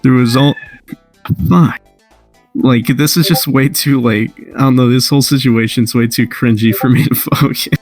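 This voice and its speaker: A man's voice: medium pitch, fairly even intonation, normal speed, a little mellow, faint music behind. A man casually reading a story aloud, breaking off now and then to chat and swear. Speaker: amateur fan fiction reader.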